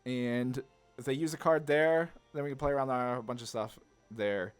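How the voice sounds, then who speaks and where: A man giving casual gameplay commentary, rambling informally as he thinks through each move out loud. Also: mid-range voice, steady tone, normal speed, clear, resonant tone, soft background music. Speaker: gaming content creator, player.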